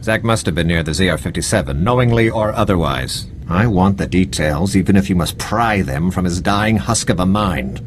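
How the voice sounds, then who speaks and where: An actor playing a cold, ruthless military officer in a video game, formal, precise and menacing. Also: male voice, deep voice, animated, normal speed, few pauses, a little hiss or hum. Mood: cold, calculating. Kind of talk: video game dialogue acting.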